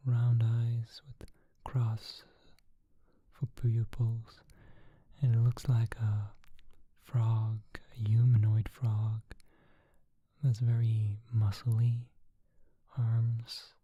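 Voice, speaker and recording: A storyteller whispering a fantasy tale slowly and softly, sometimes thinking aloud. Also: mid-range voice, little pitch movement, breathy edge, no echo, dull audio.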